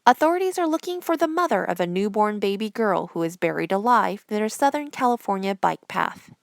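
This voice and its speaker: A woman presenter reading scripted news headlines in a measured, formal newsreader delivery. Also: mid-range voice, lively intonation, creaky, no room sound, clean studio sound.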